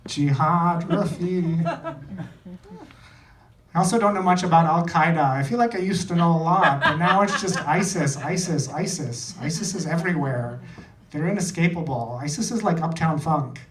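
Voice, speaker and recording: A man's voice: fairly high pitch, normal speed, a crowd in the background. Echoey, distant male stand-up comic. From India. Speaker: stand-up comedian. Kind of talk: live stand-up comedy routine. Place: small comedy theater, on stage before an audience. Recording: echoey club, distant mic, loud noise.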